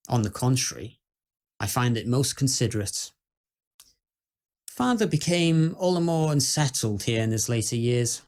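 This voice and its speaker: A British man playing a genteel, unruffled heir in a ghost story, speaking formally with wry, measured delivery. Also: medium pitch, a little mellow. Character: genteel, unruffled English heir recounting his haunted family house. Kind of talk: scripted ghost story monologue.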